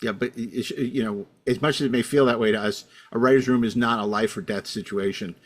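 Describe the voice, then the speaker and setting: A man's voice: mid-range voice, fairly even intonation, a little fast. A middle-aged man hosting a casual panel discussion, talking in a rambling way full of you-knows. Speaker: podcast host.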